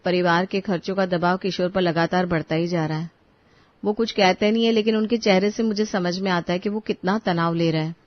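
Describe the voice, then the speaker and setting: A woman voicing a worried middle-class mother in an animated story, talking in an anxious, fretful way. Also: lowish voice, fairly quick, close to an everyday voice. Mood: pensive. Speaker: voice actor. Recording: dull, dry studio recording.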